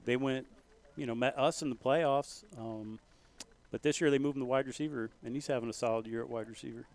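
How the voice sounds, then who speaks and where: A man calling a local high school football game play by play, folksy and rising to loud excitement on big plays. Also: fairly quick. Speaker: play-by-play commentator.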